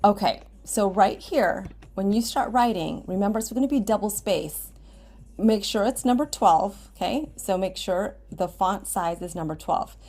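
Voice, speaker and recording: A woman's voice: medium pitch, a little slow, a little mellow. An American woman teaching a step-by-step computer lesson for students, using simple instructional phrasing and often checking in with an okay. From Washington State, United States. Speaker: ESOL writing teacher. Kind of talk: step-by-step screen tutorial for students. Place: indoors at a computer, screen recording with webcam.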